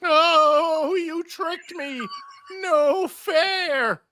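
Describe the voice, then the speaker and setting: Woman doing a shrill, scheming cartoon villain magician. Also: extremely slow, bright, forceful tone, no echo, exaggerated voice. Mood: outraged. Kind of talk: cartoon table read.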